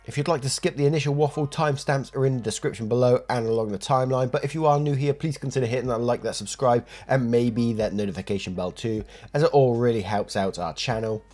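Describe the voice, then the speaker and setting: A man's voice: medium pitch, fast, hardly any pauses, darkish tone, faint music behind. A British man with a stuffy cold, chatting and reading online stories aloud as a channel host. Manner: friendly, chatty, thanks listeners. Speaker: story narrator. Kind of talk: casual reading of online posts.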